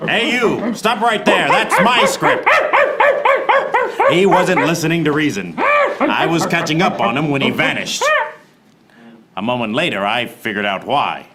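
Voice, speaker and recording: An actor playing a hapless, exasperated private eye, narrating deadpan in a parody noir radio play. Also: male voice, a little high, unhurried, long pauses, a crowd in the background. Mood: urgent, exasperated. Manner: deadpan hard-boiled narration.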